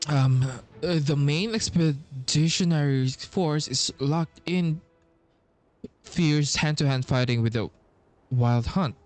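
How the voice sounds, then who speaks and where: A man streaming a game, reading its text aloud and commenting, stumbling and repeating himself as he goes. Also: mid-range voice. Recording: faint game music underneath. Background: faint game music.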